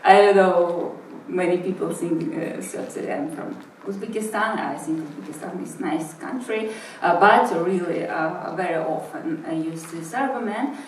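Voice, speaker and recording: A woman with a Russian accent answering interview questions in English, thinking aloud with many pauses and fillers. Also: fairly low pitch, a little mellow, slight background noise. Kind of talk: thoughtful spoken answer in English. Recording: roomy sound from a distant mic.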